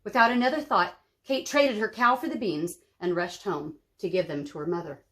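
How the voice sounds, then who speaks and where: A woman's voice: mid-range voice, fairly even intonation, normal speed, a bit bright. A woman reading a children's storybook aloud as a story-time host, dramatic and explanatory. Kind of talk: expressive children's storybook narration.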